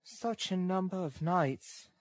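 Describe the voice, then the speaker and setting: A man voicing a weak, long-suffering young woman in a story, soft, tender and pleading. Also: high voice, animated, measured pace, dry recording, pitched above the performer's own voice.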